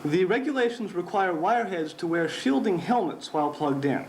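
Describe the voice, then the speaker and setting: A man playing a deadpan news anchor of the future, reading a humorous newscast in a measured, mock-serious way. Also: high-pitched, rough recording. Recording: faint noise, amateur 1970s recording.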